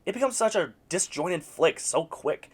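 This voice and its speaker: A man's voice: a little high, lively intonation, moderate pace, breathy edge. A man reviewing video game movies in a scripted, casual voice-over, wry and sarcastic with mock-exasperated outbursts.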